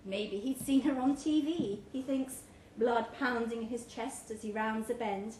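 A woman's voice: a little high, a little slow, darkish tone. A woman reading a short story aloud to a live event, expressively, giving life to the dialogue. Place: indoors, pub event room. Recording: roomy live pub recording, background noise.

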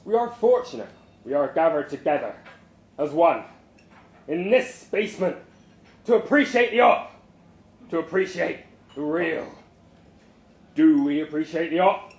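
A man's voice: a little high, lively intonation, measured pace, pauses often, a little mellow, reverberant. A man voicing a fervent male boss who rallies beer lovers like a preacher, declaiming in rousing calls as part of a story reading. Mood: grand, solemn. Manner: rousing, declamatory, chant-like call to the crowd.

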